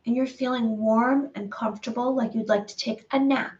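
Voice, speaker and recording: A woman's voice: a little high, fairly even intonation, a little mellow, recorded from a distance, dull audio. Woman meditation guide, soothing repetitive delivery, slightly off-mic. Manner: slow, repetitive, soothing guidance with pauses.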